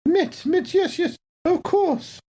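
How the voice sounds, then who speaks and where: A woman's voice: extremely high pitch, slow, mellow, voice pushed up. A woman playing a genteel, fussy older lady with proper, coy politeness in a tabletop role-play. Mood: flattered, gracious. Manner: proper, fluttery, old-fashioned politeness.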